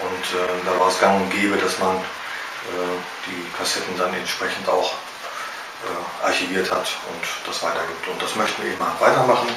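A middle-aged man with a Ruhr German accent speaks in a somewhat low voice, pausing often on filler sounds. He is picked up from a few feet away, so his voice carries strong room echo. A steady hiss of noise runs underneath. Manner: halting, many filler sounds. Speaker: small business owner. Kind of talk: trade fair interview statement. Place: indoor room with shelves. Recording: roomy echo from a distance.

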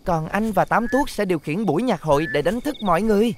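An actor voicing a confident, upbeat young boy who leads his friends in an animated cartoon. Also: medium pitch, quick pace, noisy background, natural voice. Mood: decisive. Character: confident, upbeat young boy leader, protective big brother. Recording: music behind.

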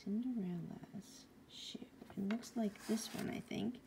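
A woman reading a picture book aloud to young children, gently and often hushed, asking the listener questions as she goes. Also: mid-range voice, unhurried, vocal fry, background noise. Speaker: bedtime story reader.

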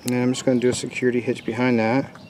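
A relaxed man in his thirties walks through a hands-on project in casual, easygoing talk. He is outdoors in a leafy forest, with faint open-air noise around him. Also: medium pitch, rapid-fire. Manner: relaxed, chatty, laughs easily. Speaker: outdoors channel host. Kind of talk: casual how-to demonstration.

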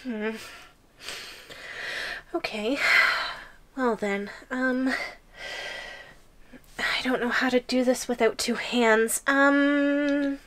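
A woman plays a yandere giantess in an audio roleplay. Her voice is high and girlish, and she takes her time with every phrase. She is possessive and coy, slipping quiet threats between giggles. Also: fairly even intonation, extremely slow, long pauses, full character voice. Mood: hesitant, threatening. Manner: coy, giggly, hesitant menace.

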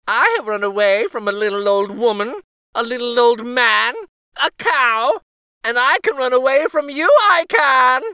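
A man's voice: very high-pitched, a little slow, bright, forceful tone, clear, resonant tone, no echo, pitched above the performer's own voice, exaggerated voice. A man voicing a cocky little gingerbread boy in a storybook read-aloud, taunting in a boastful sing-song with gleeful cackles.

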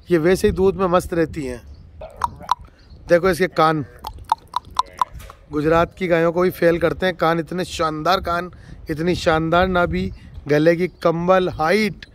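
High-pitched man praising livestock outdoors. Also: slight background noise. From Rajasthan, India. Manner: enthusiastic, repetitive praise. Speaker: dairy farmer showing a cow for sale. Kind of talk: casual spoken livestock showcase.

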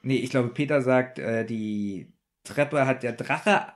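Guy on a podcast, normal mid pitch, casual back and forth banter as cohost. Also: takes it slowly. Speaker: podcast co-host.

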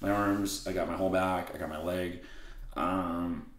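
Brief: deep raspy man, blunt, curses a lot, echoey room. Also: steady tone, unhurried. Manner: blunt, swears freely, laughs easily. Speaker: podcast guest. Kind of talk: casual interview chat. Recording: roomy echo.

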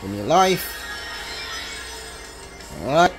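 A man's voice: on the high side, very animated, extremely slow, music in the background. A man giving casual live gameplay commentary, muttering to himself and correcting himself as he plays. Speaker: gamer, streamer.

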